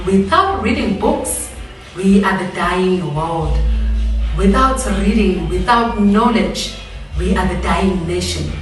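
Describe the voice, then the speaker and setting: A woman from South Africa giving a slow, emphatic, declamatory message of support. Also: medium pitch, animated. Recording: echoey, distant, with music.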